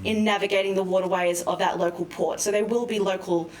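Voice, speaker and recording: A woman speaking as an expert guest in a live news interview, explaining things in a measured, careful way. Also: medium pitch, steady tone, darkish tone. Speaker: expert guest, maritime lawyer. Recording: roomy, distant mic with faint noise.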